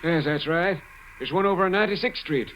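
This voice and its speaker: A man's voice: a little high, fairly quick, close to an everyday voice. An American actor playing a talkative, obliging night elevator boy in 1940s radio style. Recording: muffled, noisy old radio recording.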